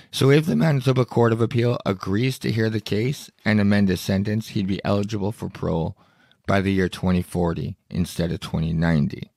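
A podcast host with a lowish, gravelly voice that is going hoarse from a cough. He reads out news items and reacts in a blunt, indignant way, swearing freely. The sound is close and dry, with no room around it. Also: a little mellow, no echo. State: hoarse, losing his voice with a cough. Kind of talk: solo podcast commentary reading news aloud.

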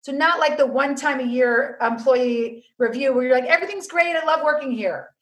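A woman's voice: moderate pace, fairly bright tone. A woman who works as a family mediator, talking earnestly as a guest, laying out numbered steps and telling anecdotes. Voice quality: low-voiced by her own account. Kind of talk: conversational guest explaining advice.

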